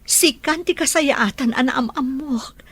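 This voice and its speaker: A woman's voice: high-pitched, a little slow, fairly bright tone, breathy edge, dry recording, exaggerated voice. A woman with an Ilocano Filipino accent playing a concerned adult mother in an audio drama. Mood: doubtful. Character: concerned adult mother, Mara, worried about daughter.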